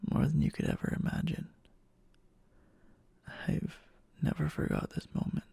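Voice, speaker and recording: A man playing a clingy, obsessive, lovestruck boyfriend in an intimate ASMR roleplay, speaking in a halting, tender way with a breathy voice that often drops near a whisper. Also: mellow, no echo, close to an everyday voice. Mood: overjoyed, adoring. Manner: halting, pleading, tender endearments.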